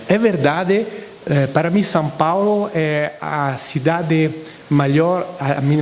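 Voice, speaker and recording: A man's voice: fairly high pitch, in a large hall, a little hiss or hum. A man from Italy with an Italian accent, a lawyer answering interview questions in hesitant speech full of fillers. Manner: hesitant, many "eh" fillers, searching for words. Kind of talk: studio TV interview.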